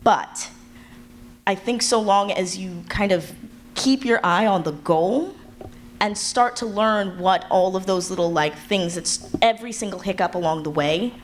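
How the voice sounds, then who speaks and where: A woman's voice: medium pitch, measured pace. A woman speaking as a panelist at a live event, giving candid, encouraging advice in casual talk. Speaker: audio drama creator, panelist. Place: live convention panel before an audience. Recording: live panel with background room noise.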